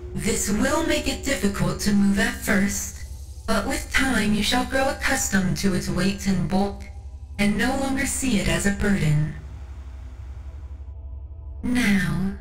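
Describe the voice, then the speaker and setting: A woman's voice: medium pitch, close to an everyday voice. A woman voicing a solemn, devout cyborg tech priest surgeon in a formal, reassuring ASMR roleplay. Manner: slow, formal, ceremonial, reassuring. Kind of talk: ASMR roleplay, scripted monologue. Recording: roomy echo, distant, music behind.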